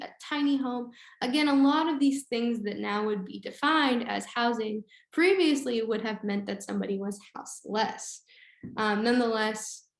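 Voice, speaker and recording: A woman with a slightly hoarse, unwell voice presents slides on a webinar, explaining in a halting way with frequent pauses. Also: medium pitch. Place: indoor room on an online webinar. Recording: roomy, echoey webinar sound.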